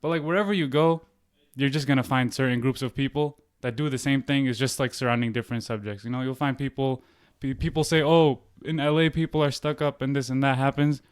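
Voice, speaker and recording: Young male podcast guest, Bay Area, laid-back slangy talker, rapid delivery, dry close sound. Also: mid-range voice, smooth, no echo. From San Francisco Bay Area. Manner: relaxed, laughs easily, slangy. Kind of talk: casual chat.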